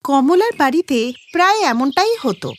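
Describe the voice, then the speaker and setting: High, animated woman storyteller. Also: moderate pace. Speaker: narrator. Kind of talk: scripted cartoon story narration.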